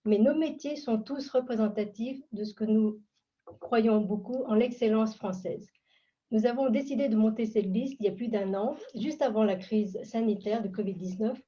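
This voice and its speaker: A woman giving a measured, formal prepared introduction as moderator on a video call. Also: a little high, warm tone. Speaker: candidate and event moderator. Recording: muffled lo-fi video call audio.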